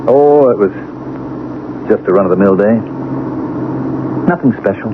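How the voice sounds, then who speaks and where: A man acting a middle-aged husband in a radio play, brooding and subdued. His voice is low and guarded, as if keeping a secret. The old broadcast sounds tinny and very noisy. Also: measured pace, lightly acted. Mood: guarded, placating. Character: troubled suburban husband hiding his lost job. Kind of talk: 1970s radio drama acting with first-person narration.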